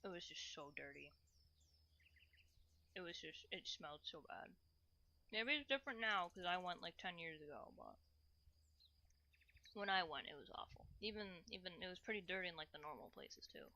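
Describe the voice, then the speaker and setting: A woman's voice: on the low side, expressive, moderate pace, long pauses, pushed and bright. A woman chatting casually to livestream viewers in a playful, rambling way, often repeating short phrases and slipping into cutesy broken grammar. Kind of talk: casual livestream chat while cooking.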